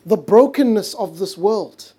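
A mellow, warm-toned man preaching a heartfelt sermon. He stretches out important words and sinks into soft, hushed moments for emphasis. English is a later-learned language for him. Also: high voice, lively intonation, husky. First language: not English. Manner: earnest, stretches key words slowly, drops to a hush for emphasis. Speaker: pastor.

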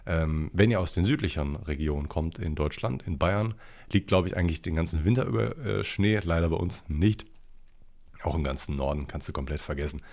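Young man, from the Ruhr area, very low pitch, congested nasal tone, blunt slangy chat. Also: fairly quick, gravelly, a little mellow. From Ruhr area, Germany. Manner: rambling, colloquial, blunt slang. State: congested, slightly nasal from a cold. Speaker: podcast host. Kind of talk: casual solo podcast chat.

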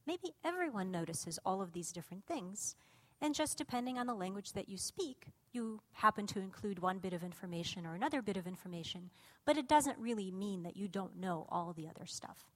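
A woman whose native language is Russian, giving a public lecture on language and thought in a lively, engaged way with frequent ums and uhs. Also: mid-range voice, fairly quick. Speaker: cognitive scientist giving a lecture.

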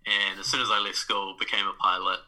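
Bright-voiced New Zealand man, reflective. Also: on the low side, little pitch movement, brisk, low-quality recording. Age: 30s. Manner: reflective, many fillers and restarts. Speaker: guest, life coach. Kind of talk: casual podcast interview.

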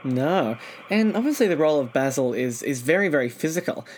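A man's voice: fairly high pitch, expressive, brisk. A man hosting an interview, asking prepared questions in a conversational way. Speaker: interview host.

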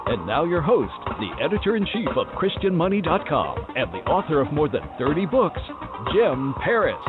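Announcer man over loud music, tinny. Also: fairly high pitch, big pitch swings, moderate pace. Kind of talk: scripted show intro.

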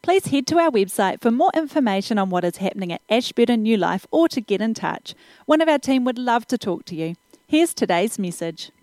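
A woman's voice: a little high, sing-song, fairly quick, darkish tone. A woman reading a short, friendly scripted introduction to a church podcast. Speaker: podcast announcer.

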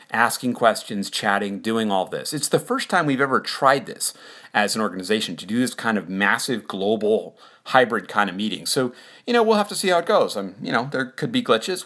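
A man's voice: on the low side, a bit bright. A man hosting a solo podcast, speaking in a conversational, enthusiastic way in an informal monologue. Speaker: podcast host. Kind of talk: casual informal monologue about an upcoming event.

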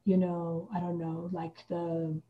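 A woman's voice: on the low side, completely flat delivery, slow pace, narrow, tinny audio, a little hiss or hum. A middle-aged woman with an Iranian accent, answering conversationally as an interview guest. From Iran. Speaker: guest, playwright and theater director.

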